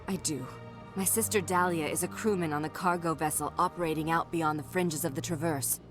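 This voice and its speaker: Game diplomat played by a woman: wealthy, anxious, measured and formal, lowish female voice, music behind. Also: steady tone, lightly acted. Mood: grave, controlled. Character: wealthy, anxious alien diplomatic emissary pleading for her sister. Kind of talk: video game dialogue acting.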